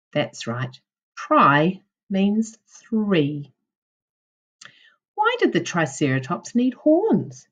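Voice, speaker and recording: A woman teacher reading a children's non-fiction book aloud in a deliberate, instructional way for young children. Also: medium pitch, lo-fi sound. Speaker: primary school teacher.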